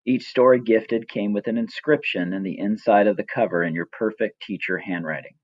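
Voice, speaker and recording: A middle-aged man reading his own personal story as scripted narration, reflective, measured and gently wry. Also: on the low side, dry recording, dull audio.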